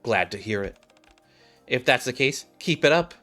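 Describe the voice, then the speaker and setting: A man reading a calm, commanding female squad leader. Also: medium pitch, faint music behind. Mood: calm, reassuring. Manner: measured, formal.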